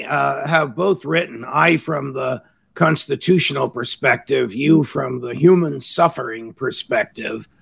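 A man hosting a podcast interview, asking questions in a formal, measured way. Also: medium pitch, creaky, bright, forceful tone, husky. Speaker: podcast host.

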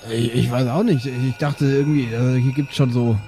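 A man's voice: mid-range voice, steady tone, a little fast, warm tone, faint music behind, close to an everyday voice. A man playing a flustered, clueless father in an improvised audio drama, hesitant and out of his depth. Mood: flustered, unsure.

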